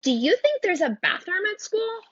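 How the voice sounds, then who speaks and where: A woman's voice: very high-pitched, very animated, moderate pace, fairly bright tone, dry recording, muffled recording, pitched above the performer's own voice, full character voice. A woman voicing an eager, proud and fidgety young girl beaver in a children's storybook.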